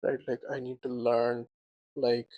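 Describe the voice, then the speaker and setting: A young man co-hosting a casual podcast chat, animated, laughing often and filling his talk with "like" and "right?". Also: medium pitch, unhurried, dry recording, muffled recording. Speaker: podcast co-host.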